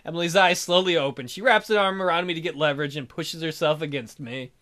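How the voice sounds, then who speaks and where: A man's voice: a little high, fast, sharp tone. A man hosting a let's play, reading a visual novel aloud in a theatrical, jokey way. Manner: theatrical, jokey, hammy delivery. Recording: background music behind voice.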